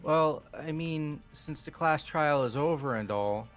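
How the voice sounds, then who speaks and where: A man's voice: a little high, measured pace, fairly bright tone, soft background music. A man playing a laid-back young man awkwardly taking charge in a fan-voiced visual novel, casual and slangy. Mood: casual, upbeat.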